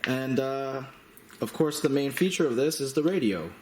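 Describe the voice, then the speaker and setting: A man giving a casual hands-on product demonstration as a reviewer, speaking in a halting, matter-of-fact way. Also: medium pitch. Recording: roomy echo, slight background noise.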